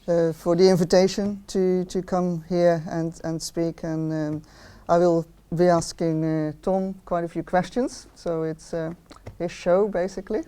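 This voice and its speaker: A woman's voice: lowish voice, level tone, measured pace, warm tone, clean tone. A woman from the Netherlands with a Dutch accent, giving a public talk and hesitating often with ums and restarts. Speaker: philosopher of art, interviewer. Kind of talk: public talk with many fillers. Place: outdoor garden event.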